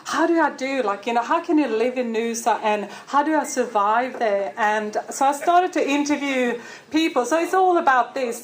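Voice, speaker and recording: A woman from Sweden, giving a short, friendly pitch for her podcast at a networking event. She laughs often and sometimes stops to look for a word. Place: event room with an audience.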